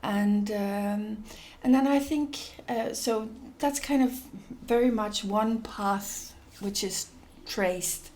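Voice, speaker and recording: A woman's voice: medium pitch, slow pace, hardly any pauses, darkish tone. A woman from Denmark, an NGO executive director, answering interview questions thoughtfully with many fillers and restarts.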